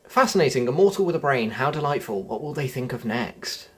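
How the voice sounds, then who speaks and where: A man's voice: medium pitch, expressive, a little fast, husky. A man voicing a haughty, scornful riddle-keeping spirit from a video game, sounding condescending and mocking. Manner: sneering, condescending.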